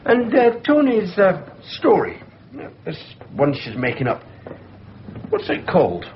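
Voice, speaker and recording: A man's voice: high voice, wildly swooping pitch, measured pace, full character voice. A man playing a courteous, probing psychiatric registrar in a naturalistic radio drama. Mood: curious. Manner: probing, questioning, professional. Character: inquisitive, courteous male psychiatric registrar doctor. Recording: dull lo-fi recording, faint ambient noise.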